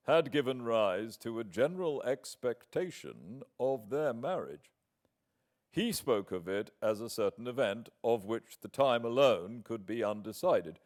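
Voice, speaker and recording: A British man reading in the part of a proud, wounded young gentleman in a classic novel, formal, measured and emphatic. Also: lowish voice, close to an everyday voice. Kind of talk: dramatic audiobook reading.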